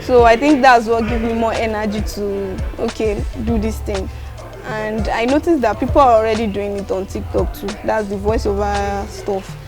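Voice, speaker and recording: A young woman from Nigeria with a Yoruba accent, answering interview questions softly and laughing often. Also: medium pitch, steady tone, moderate pace, over music. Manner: soft-spoken, laughs often, mixes in Yoruba. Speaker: guest vlogger.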